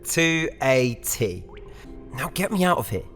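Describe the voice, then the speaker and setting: A woman's voice: a bass voice, big pitch swings, measured pace, faint music behind, heavily acted. Woman as an earnest young captive in echoing space. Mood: exasperated, determined. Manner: earnest, urgent. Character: desperate, determined eldest sibling, a young captive. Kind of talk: audio drama acting. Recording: long hall-like reverb.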